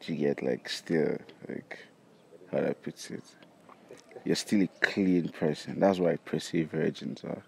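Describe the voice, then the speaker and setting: A man's voice: a bass voice, unhurried, gravelly. A young man with a Nigerian accent giving casual answers in an outdoor interview. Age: young adult. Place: outdoors by campus buildings. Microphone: handheld device held by interviewer. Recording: faint outdoor background noise.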